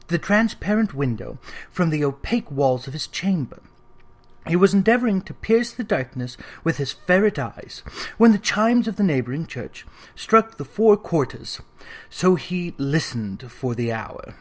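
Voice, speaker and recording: A man narrating a classic Victorian ghost story as an audiobook, in a theatrical, expressive storytelling manner. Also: fairly high pitch.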